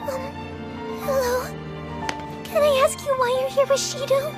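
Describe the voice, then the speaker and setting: Tiny, very high-voiced shy girl. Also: flat delivery, a little slow, music in the background. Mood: hesitant. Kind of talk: anime fan dub acting.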